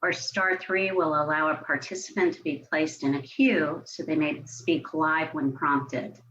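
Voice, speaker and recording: Low-voiced American woman, muffled meeting audio. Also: fairly even intonation, a little slow, a bit bright. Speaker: city staff member at a public commission meeting. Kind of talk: formal meeting procedure, reading out agenda items. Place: remote online meeting. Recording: muffled online meeting audio, faint noise.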